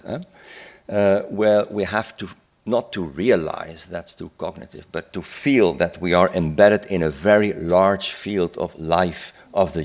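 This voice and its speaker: Deep-voiced older lecturer. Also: male voice, darkish tone. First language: Dutch. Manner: reflective, unhurried, with filler "eh?" asides and restarts. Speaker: psychiatrist giving a conference talk. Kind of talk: lecture at a symposium. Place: conference hall.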